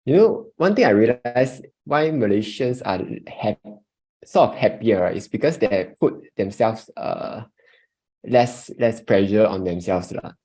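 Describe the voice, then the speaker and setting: A man's voice: on the low side, expressive, a little slow, darkish tone, rough recording. A man with a Singaporean English accent, telling everyday stories in a casual podcast chat. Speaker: podcast co-host.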